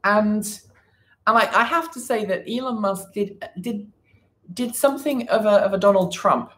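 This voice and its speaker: A woman's voice: mid-range voice, normal speed, a bit bright. A woman hosting a casual livestream, talking to viewers with many fillers and restarts. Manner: chats with viewers, reads the chat. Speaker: livestream host.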